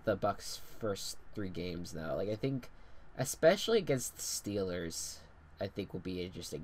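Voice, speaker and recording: A congested young man rambling and swearing casually while commentating a football video game. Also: on the low side. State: congested, stuffy nose. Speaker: gaming commentator.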